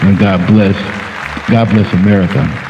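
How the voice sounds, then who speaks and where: Male host, low voice, effusive and repetitive; lo-fi reverberant video call sound. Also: steady tone, measured pace, over music, crowd noise. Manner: effusive, repetitive praise with fillers. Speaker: talk show host. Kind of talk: online talk show hosting.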